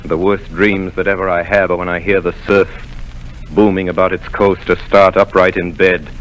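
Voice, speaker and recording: An actor narrating as a grown man looking back in a measured, brooding way. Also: low-pitched, flat delivery, fairly bright tone, slight background noise, low-quality recording. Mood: reflective. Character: grown man recalling a boyhood adventure. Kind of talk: storytelling narration.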